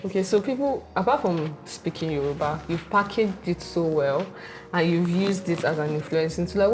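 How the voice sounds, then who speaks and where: A woman's voice: medium pitch, a little mellow. Bubbly woman interview host. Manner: bubbly, teasing, laughs often. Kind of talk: interview hosting.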